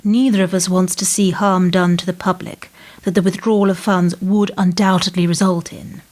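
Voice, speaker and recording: A woman acting in a radio play as a cool, controlled government minder who speaks in a measured, formal, insinuating way. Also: on the low side, little pitch movement, darkish tone, lightly acted. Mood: cold menace.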